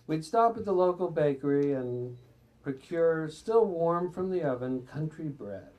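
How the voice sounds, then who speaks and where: A man's voice: a little high, lively intonation, unhurried, darkish tone, poor audio. A man reading excerpts from a memoir aloud at a live reading, sometimes stumbling and stepping out of the text with asides. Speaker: reader at a bookstore event.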